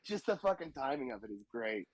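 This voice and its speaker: A man's voice: medium pitch, very animated, brisk. A young man and stand-up comedian talking casually on a podcast, laughing often and joking crudely. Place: Austin, Texas.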